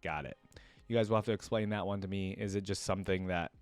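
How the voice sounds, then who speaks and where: Male reaction creator, lowish pitch, informal, thinks out loud between passages he reads. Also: steady tone, quick pace, no echo, soft background music. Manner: chatty, thinking aloud. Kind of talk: casual commentary, reading wiki text aloud.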